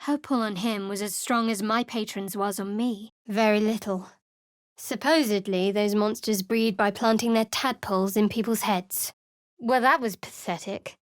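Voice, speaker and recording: A woman's voice: medium pitch, fairly even intonation, normal speed, dry recording, natural voice. An actress playing a guarded young woman cleric in a video game, speaking in a dry, cutting, sardonic way. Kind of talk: video game character dialogue. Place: studio voice booth.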